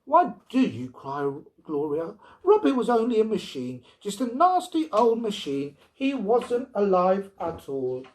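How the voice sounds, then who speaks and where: A man's voice: sits high, big pitch swings, a little slow, few pauses, darkish tone, voice pushed up. A man voicing a stubborn, exasperated mother in a story, firm and emphatic. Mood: dismissive. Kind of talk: storybook character reading.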